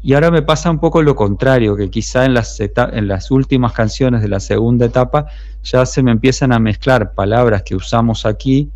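A middle-aged man from Uruguay with a Uruguayan Spanish accent, a musician talking through his story in a relaxed, thoughtful interview, often restarting his sentences. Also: mid-range voice, fairly quick, no echo. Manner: thoughtful, rambling with many restarts. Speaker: street musician and songwriter. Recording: dull muffled sound.

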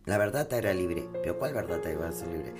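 A man's voice: low voice, animated, fast. A man hosting an opinionated talk show, emphatic and preachy, full of rhetorical questions. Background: guitar music.

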